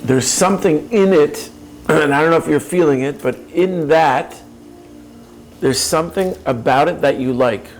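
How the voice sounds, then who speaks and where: Earnest gravelly man talking straight to you. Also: a little high, normal speed, faint music behind. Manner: earnest, probing, speaks to listener directly. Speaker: speaker on personal growth. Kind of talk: reflective coaching talk.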